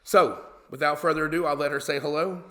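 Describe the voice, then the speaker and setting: A man's voice: fairly high pitch, fairly even intonation, a little fast, sharp tone. A man hosting an online show in a chatty, blunt way. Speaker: host. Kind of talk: casual online show talk.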